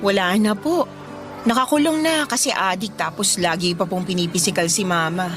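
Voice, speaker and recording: A woman's voice: medium pitch, moderate pace, over music, lightly acted. Woman acting as a respectful, burdened young Filipina. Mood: weary, sorrowful. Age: young adult. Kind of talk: Filipino radio drama acting.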